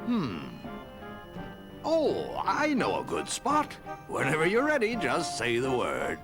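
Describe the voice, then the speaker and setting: An older man playing a dignified, wary but jolly Father Christmas in a cartoon game, measured and grandly expressive. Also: mid-range voice, vocal fry, over music, heavily acted. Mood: thoughtful, agreeable. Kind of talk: video game cartoon voice acting.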